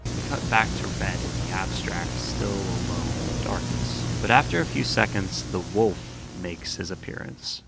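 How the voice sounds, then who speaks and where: A man's voice: deep voice, moderate pace, vocal fry, over music, low-quality recording. A man hosting scripted horror commentary, with a wry, sarcastic manner and dry asides. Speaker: YouTube host.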